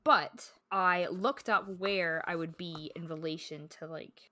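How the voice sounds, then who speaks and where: A woman with a Scottish accent, co-hosting a casual book podcast and telling stories in an animated, chatty way. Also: lowish voice, unhurried, no echo. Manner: animated, chatty storyteller with frequent fillers. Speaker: podcast co-host.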